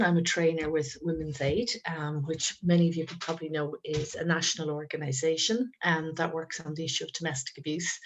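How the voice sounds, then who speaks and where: A woman, giving a careful webinar talk as a trainer, croaky from a head cold, with many pauses and ums. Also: on the low side. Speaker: trainer and guest speaker. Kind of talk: webinar presentation.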